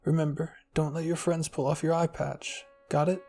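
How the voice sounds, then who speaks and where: A kindly, fussing grandmother read by a man in a story roleplay. The voice is gentle and coaxing, creaky and husky, with faint music behind. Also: a little high, level tone, normal speed. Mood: fond, coaxing.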